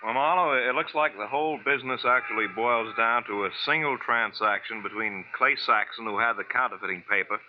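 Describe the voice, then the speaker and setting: A man's voice: medium pitch, a little fast, few pauses, a little hiss or hum, lightly acted. Man playing a tinny old-radio police lieutenant. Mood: businesslike. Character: genial, businesslike male police lieutenant. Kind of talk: 1940s radio drama acting. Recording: tinny, narrow vintage radio recording.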